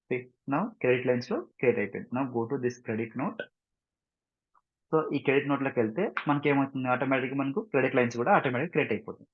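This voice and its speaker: A man with an Indian accent giving an informal software tutorial, repeating himself, mixing in English terms and often checking that listeners follow. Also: medium pitch, dull audio. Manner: repetitive, frequent check-in questions, mixes English terms into speech. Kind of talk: screen-share tutorial, informal explanation.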